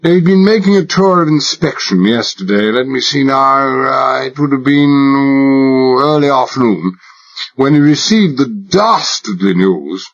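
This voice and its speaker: A man's voice: mid-range voice, animated, unhurried, pitched above the performer's own voice, close to an everyday voice. A man voicing a male agent who fakes an exaggerated upper-class English accent, talking in a fussy, chattering, mock-polite way, on a dull lo-fi recording. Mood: affected. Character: male undercover agent faking a posh English secretary.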